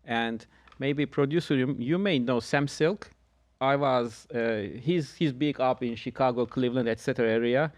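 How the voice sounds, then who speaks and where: A middle-aged man from Turkey, a guest telling his story in a measured way with dry humor. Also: medium pitch, no echo. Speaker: guest, insurance agent. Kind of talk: casual podcast conversation.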